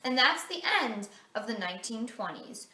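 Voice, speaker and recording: Female teen, American, fairly high bright voice, amateur news script read in a reverberant room. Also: lively intonation, moderate pace, far from the mic. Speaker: student playing news anchor and correspondent. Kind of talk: amateur scripted mock 1920s newscast. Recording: echoey room, faint noise.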